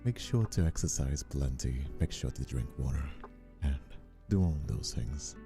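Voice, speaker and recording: A man's voice: rumbling low, expressive, normal speed, creaky. A man chatting casually on a live stream as its host, affectionate with his viewers, with a flirty touch. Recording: faint music behind the voice.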